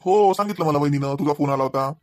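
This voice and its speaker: A young husband from a comedy cartoon, voiced by a man, with a fairly high voice and a Vidarbha Marathi accent. He is chatty and mock-reproachful, forever teasing, and sounds close and dry. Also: quick pace, no echo, close to an everyday voice. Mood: casual, questioning. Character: teasing, needling young husband at his in-laws.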